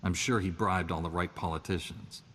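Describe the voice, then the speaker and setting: A sardonic captain in a sci-fi video game, with a very deep voice. He delivers dry quips with deadpan calm. A long reverb and faint ambience hang around each line. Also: moderate pace, a little hiss or hum. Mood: wry.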